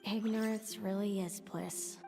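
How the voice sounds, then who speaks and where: An actress playing a grave, brooding young woman seer in an anime-style video game, speaking in a measured, wistful way. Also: mid-range voice, fairly even intonation, gravelly. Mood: wistful, bitter. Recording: loud music under the voice.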